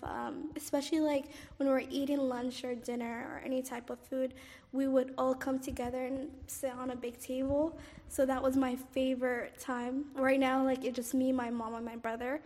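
A young woman with a high, light voice, from Somalia by way of Cairo, talks through her memories in an unhurried, hesitant way, filling pauses with "um" and "like." Her voice carries a long reverberant tail, as if she were in a large room. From Somalia, raised in Cairo, Egypt. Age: 20s. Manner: hesitant, frequent "um" and "like", soft laughs. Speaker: oral history interviewee. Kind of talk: casual personal recollection.

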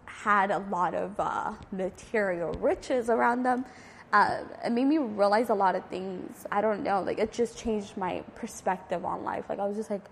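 A woman's voice: mid-range voice, moderate pace, vocal fry, a little hiss or hum. A woman around thirty, from Ethiopia and raised in San Diego, answering interview questions in an informal, reflective, hesitant way. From Ethiopia, raised in San Diego from age nine. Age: 30s. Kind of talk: oral history interview, casual recollection. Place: community center room. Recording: long reverberant hall-like echo.

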